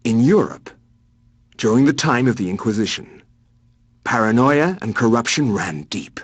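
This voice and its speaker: An actor playing a grave scholarly researcher, narrating dark history solemnly and dramatically for a video game in a husky voice. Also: male voice, mid-range voice, animated, moderate pace, no room sound.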